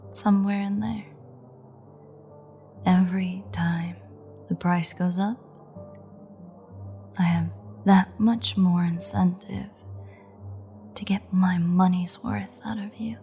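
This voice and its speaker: A woman acting as a predatory female vampire speaks in a slow, taunting drawl. Her voice sits fairly low and is breathy with a creaky edge, often sinking toward a whisper, in the close, teasing manner of a roleplay audio drama. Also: steady tone, warm tone, faint music behind. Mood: wry, wistful. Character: menacing, teasing female vampire gloating over captive hunter.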